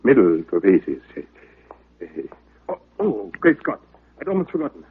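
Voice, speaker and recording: An actor playing a nervous, evasive phony doctor in an old-time radio drama, fussy and mannered, stammering with false gentility. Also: male voice, medium pitch, sing-song, normal speed, breathy edge. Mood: nervous excuses. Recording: tinny vintage radio recording.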